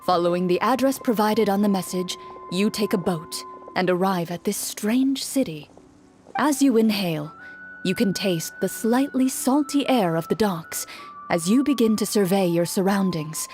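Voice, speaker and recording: A woman playing a game master who narrates a fantasy adventure in a measured, theatrical storytelling manner. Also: mid-range voice, lively intonation, darkish tone, soft background music, close to an everyday voice. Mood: calm, mysterious.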